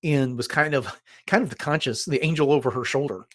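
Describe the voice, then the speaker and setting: A man's voice: medium pitch, a little fast, fairly bright tone. A man hosting a podcast, casually recapping a story and thinking aloud as he talks. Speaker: podcast host.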